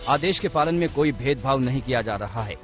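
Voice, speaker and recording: A man reporting a news story in a steady, formal voice-over. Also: mid-range voice, fairly quick. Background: faint music bed.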